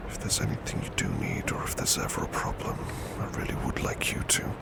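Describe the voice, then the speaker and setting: A man playing a big, burly, devoted butler in a soft ASMR roleplay, murmuring in a breathy, polite, tender and protective way. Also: brisk, very noisy, lightly acted. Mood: gently insistent. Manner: polite, formal, tender, reassuring.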